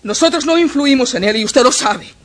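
A woman's voice: sits high, animated, brisk. A distressed adoptive mother. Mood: earnest. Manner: earnest, distressed pleading. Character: adoptive mother, gentle but firm. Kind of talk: radio drama acting.

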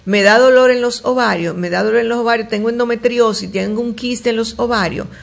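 A woman gynecologist on a call-in radio show, reading listeners' questions aloud and answering them in a warm, chatty way with many fillers and restarts. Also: fairly high pitch, a little fast, creaky. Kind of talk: call-in health advice show.

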